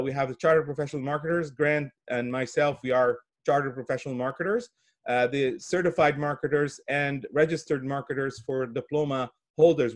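Halting male presenter from Brazil. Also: medium pitch. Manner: halting, with frequent pauses and repeated words. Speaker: guest speaker giving opening remarks. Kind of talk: presentation at an online summit. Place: indoor office, on a video call. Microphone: video call.

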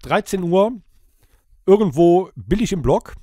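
A man's voice: high-pitched, expressive, measured pace, warm tone, no room sound, studio quality. A man co-hosting a podcast in casual, joking chat. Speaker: podcast co-host.

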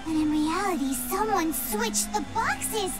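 A woman voicing a tiny, excitable, inquisitive fairy girl in a video game, with a squeaky childlike voice. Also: measured pace, loud music behind the voice. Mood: alarmed, questioning. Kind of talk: cartoonish game voice acting.

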